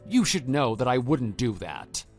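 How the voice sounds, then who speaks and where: A man voicing a stern, principled former schoolteacher in a scripted audio drama, speaking in a measured, matter-of-fact way. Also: mid-range voice, sing-song. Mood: firm, reproving. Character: stern, principled male former homeroom teacher, calm and reproving. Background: background music.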